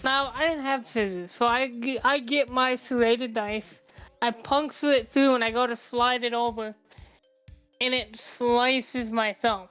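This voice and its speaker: A woman's voice: a little high, fairly even intonation, unhurried, fairly bright tone, clean tone, muffled recording, faint music behind. A woman co-hosting a podcast, telling a rambling, profane story in a casual chat. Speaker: podcast co-host.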